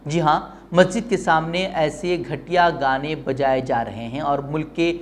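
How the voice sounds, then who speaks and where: A man's voice: fairly high pitch, fairly even intonation, a little slow, a little hiss or hum. A man presenting a news bulletin with pointed commentary, speaking in an emphatic, rhetorical way.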